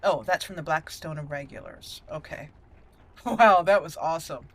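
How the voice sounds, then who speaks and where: A woman giving playful, expressive let's play commentary, chatting and thinking aloud as she explores a game. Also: low-pitched, normal speed. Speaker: gaming video host.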